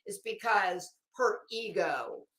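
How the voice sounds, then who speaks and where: A woman giving informal, opinionated commentary in an emphatic, scornful manner, swearing freely and pausing dramatically. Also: mid-range voice, lively intonation, a bit bright, reverberant. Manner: emphatic, scornful, profane, dramatic pauses and repetition. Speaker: online commentator.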